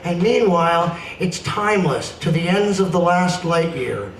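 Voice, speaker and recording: A man's voice: a little high, lively intonation, unhurried. A man giving a playful, dramatic spoken-word performance, reading aloud in a casual live setting. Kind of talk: animated live poetry reading with casual introductions. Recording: roomy, distant mic with faint noise.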